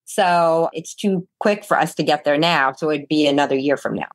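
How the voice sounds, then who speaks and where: A woman's voice: low-pitched, moderate pace. An older woman talking casually as an interview guest, recounting her experiences. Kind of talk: casual conversational storytelling.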